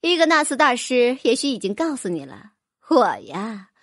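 A woman's voice: a little high, sing-song, normal speed, no echo, studio quality. A woman voicing a fussy, fawning rich noblewoman who gushes with exclamations. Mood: fawning, gushing. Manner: gushing, exclamatory, wheedling.

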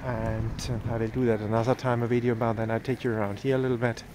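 A man's voice: mid-range voice, level tone, quick pace. A man with German as his first language, giving a cheerful walking-tour vlog outdoors on a town street in the evening, filmed on a handheld phone. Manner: chatty, upbeat, points things out to viewers. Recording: street noise from passing cars.

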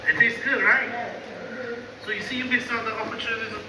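A man's voice: extremely high pitch, level tone, fast, distant mic. A man working as a cave tour guide, chatty and joking with the group as he talks them through the sights. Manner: chatty, jokey, addresses the group as guys. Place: underground cave aboard a tram. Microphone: filmed by a rider's phone from a few rows away. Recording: echoey cave from afar with loud vehicle noise.

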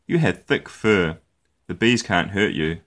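Man voicing a gentle, animated storybook daddy bear. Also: low voice, unhurried. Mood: encouraging. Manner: encouraging, tender, emphatic. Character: gentle, encouraging grown-up father bear.

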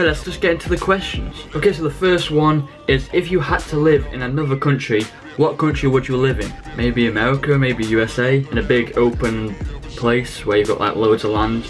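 A fifteen-year-old boy with an English accent, talking casually to camera as a vlogger answering questions. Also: mid-range voice. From England. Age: 15, teenager. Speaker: vlogger, channel host. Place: small bedroom, indoors.

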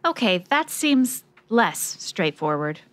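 A woman's voice: mid-range voice, very animated, takes it slowly. A woman playing a plucky, skeptical investigative reporter in a scripted noir radio drama, wry and questioning.

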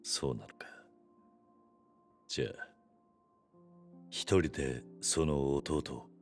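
Stoic gangster, extremely low voice, terse and blunt, video game drama, faint music behind. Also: male voice, slow pace, exaggerated voice. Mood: stoic, serious. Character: stoic, gruff yakuza man, reserved and courteous. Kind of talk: Japanese video game cutscene acting.

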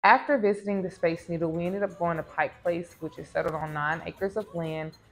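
A woman of thirty from the American South, chatting to camera about a trip in a relaxed vlog, recorded at home on a lapel mic. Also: fairly low pitch, moderate pace, faint music behind, poor audio. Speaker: vlogger. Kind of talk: casual travel vlog. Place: small home room with plants.

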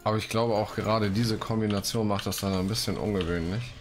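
A man with a deep voice gives relaxed, informal let's play commentary as host. He talks close to the microphone, with game music faintly behind him. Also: a little fast. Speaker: gaming video host. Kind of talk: casual let's play commentary, reading quests aloud.

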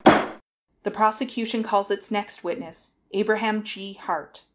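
A woman narrating a trial drama in a formal, deliberate, scripted announcing style. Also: medium pitch, fairly even intonation, soft background music.

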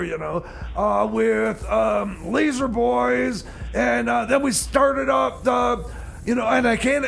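Flustered radio host, extremely high croaky voice, hesitant and stumbling, faint noise behind. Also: male voice, full character voice. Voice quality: creaky, croaky. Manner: stammering, halting, hesitant. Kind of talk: comedy radio theater.

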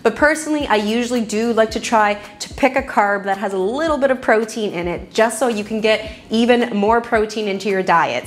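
A young woman in her twenties, a fitness coach giving an energetic, chatty meal prep tutorial to camera in a small home kitchen. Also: mid-range voice. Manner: energetic, chatty, gestures while talking.

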